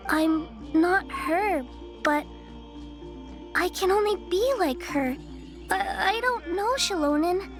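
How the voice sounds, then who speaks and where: Slow, very high-pitched timid little girl. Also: music in the background, exaggerated voice. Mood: anxious, lost. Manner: hesitant, halting delivery. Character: timid young girl, anxious, lost memories, sweet and fragile. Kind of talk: video game voice acting.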